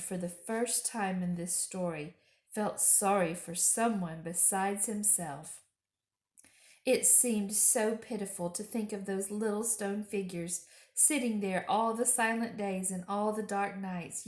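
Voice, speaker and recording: A woman reading a children's story aloud expressively, with deliberate phrasing and dramatic emphasis. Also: medium pitch, echoey room. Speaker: storytime reader.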